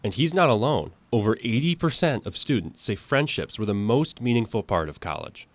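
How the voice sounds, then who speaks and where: A man's voice: fairly low pitch, sing-song, a little fast, no echo. A young man narrating scripted news packages as a student TV reporter. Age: young adult.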